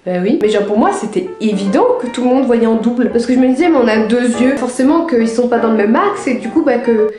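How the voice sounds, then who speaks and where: High, soft-toned young French woman. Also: a little fast, few pauses. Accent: French from France. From France. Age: young adult. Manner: informal, slangy, confiding. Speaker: video creator. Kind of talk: casual personal anecdotes to camera. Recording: roomy echo, music underneath.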